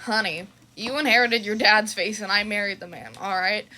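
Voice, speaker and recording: A woman's voice: mid-range voice, moderate pace, pushed and bright, a little hiss or hum, lightly acted, low-quality recording. A woman voicing a cheerful, doting and slightly dopey dad in a fan fiction audio story, sheepish and affectionate.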